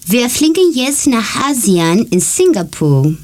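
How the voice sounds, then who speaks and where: A woman from Greece co-presenting a scripted segment in slow, deliberate learner German. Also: fairly high pitch, very animated, darkish tone.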